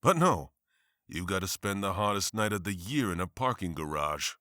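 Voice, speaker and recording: An actor playing a defiant district attorney in an animated comic, sardonic and forceful. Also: male voice, deep voice, normal speed, no echo, clean studio sound. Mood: bitter sarcasm.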